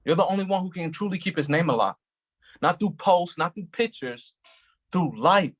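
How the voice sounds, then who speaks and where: An actor reading the part of a calm male police officer who consoles a grieving woman and tells a childhood story in a measured way. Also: sits high. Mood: earnest. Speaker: actor in a staged play reading. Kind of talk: staged play reading.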